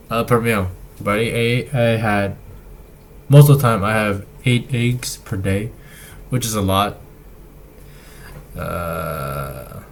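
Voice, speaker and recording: Young male vlogger, fairly low voice, halting casual delivery, faint hiss behind. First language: not Chinese. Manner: halting, many fillers and restarts. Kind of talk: casual health update to camera.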